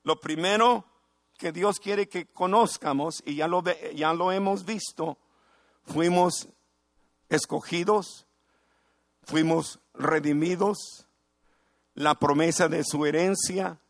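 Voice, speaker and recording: A middle-aged male pastor preaching a fervent sermon in Spanish, breaking into shouts of praise. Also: fairly high pitch, takes it slowly, long pauses, no echo, studio quality.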